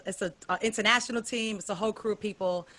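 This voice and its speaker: A woman on an online panel, describing her marketing job in halting speech with many restarts and repeated words. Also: mid-range voice, steady tone, a bit bright. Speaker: panel guest, music marketing director.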